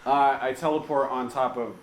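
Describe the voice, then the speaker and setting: A man's voice: medium pitch, fairly even intonation, moderate pace, noisy background. A man playing a tabletop fantasy game, chatting casually as he describes what his character does.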